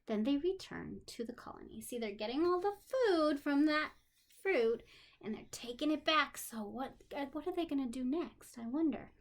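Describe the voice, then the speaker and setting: A woman teacher reading aloud to young children in an expressive manner, pausing for simple explanations and questions. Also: sits high. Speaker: special education teacher.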